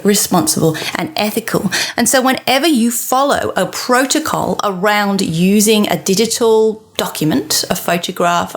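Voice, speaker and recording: A woman hosting her own teaching podcast, explaining things in a friendly way and asking rhetorical questions, her voice a bit bunged up from a cold. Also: mid-range voice. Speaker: podcast host, teacher and lecturer. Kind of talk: solo educational podcast talk.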